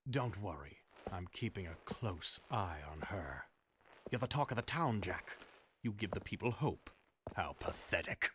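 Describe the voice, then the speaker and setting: A man's voice: on the low side, sharp tone, slightly rough, heavily acted. An actor playing a sadistic, arrogant male racing rival in a video game, smug, taunting and theatrical. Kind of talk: video game cutscene acting. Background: music.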